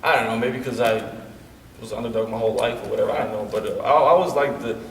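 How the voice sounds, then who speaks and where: A man's voice: lowish voice, steady tone, racing, gravelly, slight background noise. A man in his late twenties from Bosnia, a wrestler talking casually as a guest, with a laid-back manner and constant "you know what I'm saying" fillers. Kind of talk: casual interview chat. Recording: roomy echo from a distance.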